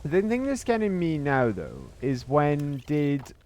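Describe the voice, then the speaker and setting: A man's voice: on the high side, wildly swooping pitch, slow, darkish tone. A man giving casual livestream game commentary, emphatic and repeating himself as he thinks aloud. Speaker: game streamer.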